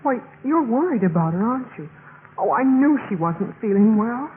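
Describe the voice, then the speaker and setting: An actress playing an earnest, spirited young American college pledge in a 1950s radio drama. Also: female voice, a little high, expressive, moderate pace, lightly acted. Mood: worried. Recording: muffled, noisy old radio recording.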